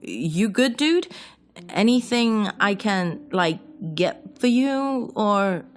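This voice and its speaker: A man's voice: high-pitched, expressive, slow pace, pitched above the performer's own voice. A man voicing a concerned young male roommate in an audio story, talking in a casual, hesitant bro manner. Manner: laid-back bro talk, hesitant. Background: music.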